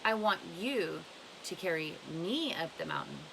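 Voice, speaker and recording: Woman reading as a blunt old woman, bright voice. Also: lowish voice, wildly swooping pitch, unhurried, creaky, a little hiss or hum, heavily acted, poor audio. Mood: firm, instructive. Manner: emphatic, questioning, instructive. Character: blunt, scornful old woman advising a lovestruck boy.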